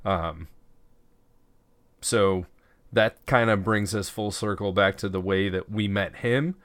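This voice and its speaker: A man's voice: on the low side, slow, fairly bright tone, dry recording. A man from the American Midwest co-hosting a casual, profane review podcast, talking at length in a laid-back way. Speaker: podcast co-host.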